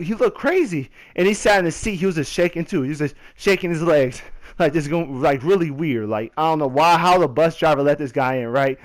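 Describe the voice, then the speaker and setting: A young American man telling a casual story over gameplay in a slangy, conversational way, talking straight to his listeners. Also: a little high. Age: young adult. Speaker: gaming video storyteller.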